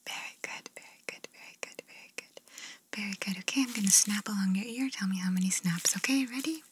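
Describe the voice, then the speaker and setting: A woman whispering slowly and softly as she plays an examiner in an ASMR roleplay, repeating gentle praise into a close binaural mic. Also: mid-range voice, background noise. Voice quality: whispering, mostly unvoiced. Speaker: ASMR creator playing an examiner.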